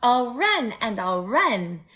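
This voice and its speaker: Storybook gingerbread girl, played by a woman: high, boastful, taunting, sing-song rhymes drawn out slowly. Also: sing-song, sharp tone, heavily acted. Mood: gleeful taunting. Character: cheeky, boastful little gingerbread cookie girl, taunting her chasers.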